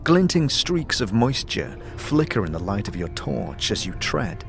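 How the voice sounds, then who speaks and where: A man giving measured, atmospheric second-person narration of a fantasy story as game master. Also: fairly low pitch, big pitch swings, creaky, soft background music.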